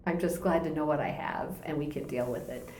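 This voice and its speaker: A woman's voice: low voice, fairly quick. Reflective woman in her sixties, echoey room. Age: 60s. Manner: gentle, reflective, laughs easily. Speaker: interview guest. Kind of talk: personal testimony in conversation.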